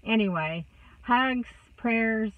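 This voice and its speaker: A woman's voice: on the high side, slow, dry recording, far from the mic. A woman of sixty with a Texas accent, chatting casually to a phone camera inside a car, rambling with many fillers. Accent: Texan. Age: 60s. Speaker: vlogger. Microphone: phone camera close up. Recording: dry, thin phone-like sound.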